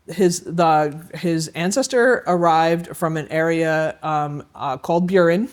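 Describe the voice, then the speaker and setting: Halting man, somewhat high. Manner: halting, many fillers and restarts. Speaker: historic site guide. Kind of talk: guided tour explanation. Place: indoors in a historic house, dining room.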